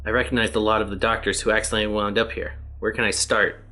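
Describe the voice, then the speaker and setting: A man's voice: fairly low pitch, fast talker. A man reading as a weary lost test subject. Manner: plain, even reading of written tablets. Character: weary male test subject lost ages in another world.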